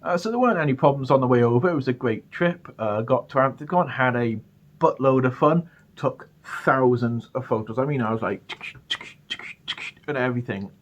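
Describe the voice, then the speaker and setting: A man's voice: mid-range voice, normal speed, muffled recording. A British man telling a personal story casually, often stammering and repeating his words as he goes. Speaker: storyteller, channel host.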